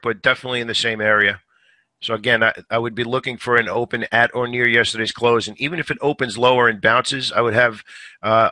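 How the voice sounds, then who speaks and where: Slightly hoarse guy talking stock charts, lowish voice, chatty and repeats himself. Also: pushed and bright, no room sound. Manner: conversational, repeats words when thinking. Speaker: stock trading show host. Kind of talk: live market commentary.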